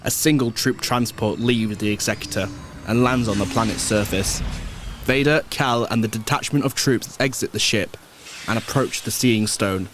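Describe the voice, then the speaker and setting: A man's voice: on the low side, moderate pace, noisy background. A man reading scripted narration for an audio drama.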